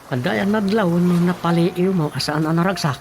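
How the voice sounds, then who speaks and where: A man's voice: high-pitched, normal speed, darkish tone, a little hiss or hum. An actor playing a practical, inquisitive village councilman in a conversational comedic radio drama, with an Ilocano accent and music behind him.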